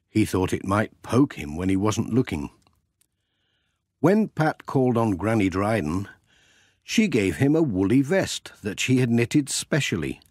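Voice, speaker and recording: A man reading a children's storybook as an expressive audiobook narrator. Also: fairly low pitch, husky, dry recording, studio quality.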